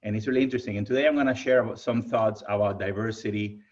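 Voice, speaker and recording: Keynote tech speaker, male, Cuban Spanish accent, lively and friendly, lo-fi video call sound. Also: lowish voice, rapid-fire. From Cuba. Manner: enthusiastic, friendly, addresses audience as "you guys". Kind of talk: virtual presentation talk. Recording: muffled lo-fi video call audio.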